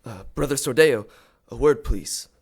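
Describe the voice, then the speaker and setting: A dutiful church acolyte, speaks at an average male pitch. He is deferential and formal, reciting like an official report in a radio drama. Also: measured pace, slightly rough. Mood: nervous deference. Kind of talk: audio drama acting.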